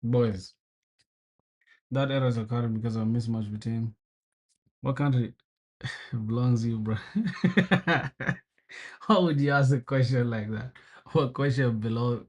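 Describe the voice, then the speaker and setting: A young man from South Sudan livestreaming his coding, chatting casually with viewers, swearing and venting frustration, calling everyone bro. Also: mid-range voice. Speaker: programming livestreamer. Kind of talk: casual live coding stream.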